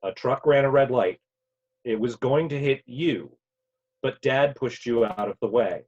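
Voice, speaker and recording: A man playing an earnest, grieving grown son from the future in a table read, with a halting, emotional delivery. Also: medium pitch, darkish tone, breathy edge. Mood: grieving, urgent. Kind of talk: virtual table read of a play. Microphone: video call. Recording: dull, lo-fi online call audio.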